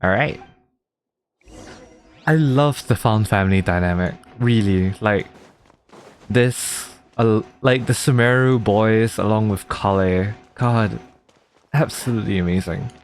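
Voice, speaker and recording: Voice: mid-range voice, measured pace, pauses often, a little hiss or hum. A streamer giving casual, sweary live commentary on a game and reacting as it plays. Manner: casual, easily amused, swearing. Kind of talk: live gameplay commentary with read-aloud game text and reactions. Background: video game music.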